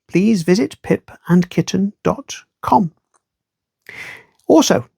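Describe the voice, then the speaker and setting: A man reading a children's story aloud in an expressive storytime style, with wry asides to the listener. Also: on the high side, creaky, dry recording, studio quality.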